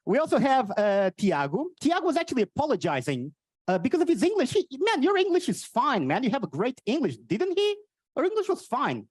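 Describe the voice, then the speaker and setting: A Portuguese man with a Portuguese accent and an odd, cartoonish voice, doing energetic stand-up and teasing the audience on stage. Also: very high-pitched, fairly quick, husky, dry recording, studio quality. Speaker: stand-up comedian. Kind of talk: live stand-up with crowd work. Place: on stage at a live event.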